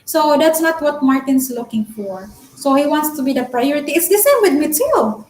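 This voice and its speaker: Woman, Filipino accent, Bisaya first language, high voice, talkative, candid live-stream chat. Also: sing-song, normal speed, a little mellow, echoey room. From Philippines. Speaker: vlogger answering live chat.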